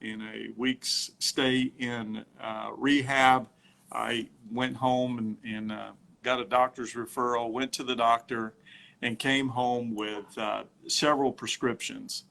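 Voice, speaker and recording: A middle-aged man talking casually on a phone livestream from home, telling his story haltingly with many fillers and restarts. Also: medium pitch, flat delivery, creaky, sharp tone.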